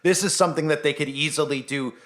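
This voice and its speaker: A man's voice: on the high side, fast, fairly bright tone. A man hosting his own channel, giving an emphatic, opinionated monologue with rhetorical questions and repetition. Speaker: online channel host.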